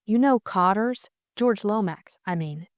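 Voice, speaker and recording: A woman voicing a brisk, practical and forthright young society woman in an audiobook, blunt and exclamatory. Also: medium pitch, animated, no room sound, clean studio sound, natural voice. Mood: excited.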